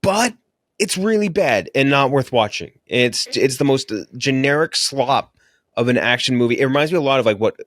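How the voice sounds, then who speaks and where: A man's voice: mid-range voice, animated, normal speed, fairly bright tone, no room sound, clean studio sound. A man hosting a podcast, chatting casually and bluntly about films in an informal way. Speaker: podcast host reviewing movies. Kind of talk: casual movie-review chat.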